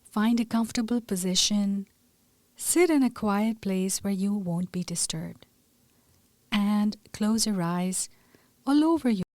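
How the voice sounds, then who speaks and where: A woman leading a gentle scripted guided meditation in a soothing, measured way, her soft voice turning breathy at phrase ends. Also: mid-range voice, dry recording, studio quality.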